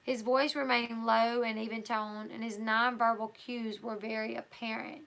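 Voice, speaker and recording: Hoarse woman with a gravelly, bright voice. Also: fairly high pitch, level tone, normal speed, low-quality recording. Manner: casual, thinks aloud with fillers and restarts. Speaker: livestream host. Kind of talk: reading a book aloud and chatting with viewers.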